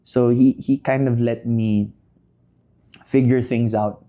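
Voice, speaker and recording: A man, a podcast guest who talks through his answers with many pauses and self-deprecating asides. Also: medium pitch, steady tone, mellow. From Philippines. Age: 30s. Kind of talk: casual interview chat.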